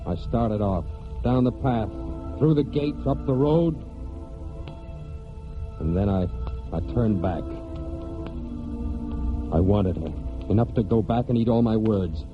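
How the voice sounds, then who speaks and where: An actor playing a proud, hot-tempered young country man in 1940s radio drama, with folksy rural speech and dramatic pauses. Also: lowish voice, lively intonation. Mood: rueful, brooding. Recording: dull 1949 radio recording, music behind.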